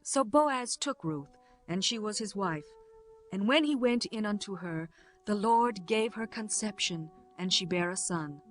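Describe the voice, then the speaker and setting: A woman narrating a scripture audiobook in a measured, formal way. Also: mid-range voice, animated, soft background music.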